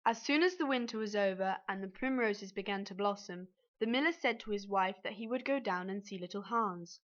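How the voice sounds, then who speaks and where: A woman's voice: mid-range voice, fairly quick, muffled recording. A woman reading a scripted fairy tale aloud as the storyteller.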